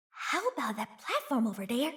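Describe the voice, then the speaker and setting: A woman's voice: a little high, a bit bright. A woman voicing a playful, greedy young female chief in a game, cheeky and teasing. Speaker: voice actor. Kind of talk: game character voice lines.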